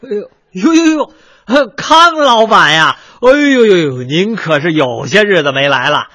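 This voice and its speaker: An actor with a Beijing accent playing a harried, fast-patter crosstalk comedian who runs a teahouse, in a radio comedy drama. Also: male voice, high voice, animated, pushed and bright, no echo, studio quality, heavily acted. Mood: fawning. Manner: rapid comic patter, fussing and fawning. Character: harried male crosstalk comic and teahouse boss.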